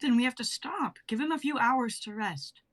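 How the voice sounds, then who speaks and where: Lady reading deja, protective young queen, husky voice. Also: on the high side, lively intonation, moderate pace. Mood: urgent.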